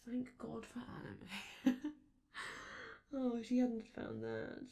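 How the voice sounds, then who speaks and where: A performer, a woman, playing a vain, affectionate and theatrical robot star in an ASMR roleplay, with halting, doting asides and a creaky voice. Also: on the high side, big pitch swings, warm tone, full character voice. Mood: chatty, amused.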